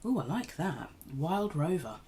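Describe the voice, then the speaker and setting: A woman's voice: deep voice, very animated, a little slow, mellow, slight background noise. A woman recovering from a cold, slightly nasal, chatting in a rambling, self-deprecating way while doing her makeup at home. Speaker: beauty vlogger. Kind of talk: casual makeup tutorial chat.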